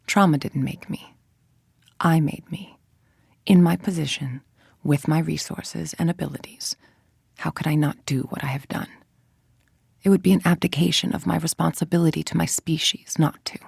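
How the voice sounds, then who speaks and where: A woman playing a cold, arrogant villain scientist in a video game, speaking in a measured, clinical monologue with a breathy, creaky voice that trails toward a whisper. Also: low-pitched, darkish tone, no room sound, clean studio sound, heavily acted. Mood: cold, resolute. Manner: measured, clinical, precise diction. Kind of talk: video game voice acting, confessional audio log monologue.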